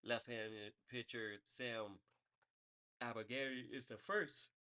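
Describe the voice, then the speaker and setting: A man's voice: mid-range voice, steady tone, slow, sharp tone, clear, resonant tone. A man hosting a sports podcast, reading baseball news in a loose, rambling way and correcting himself as he goes. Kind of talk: casual sports news rundown.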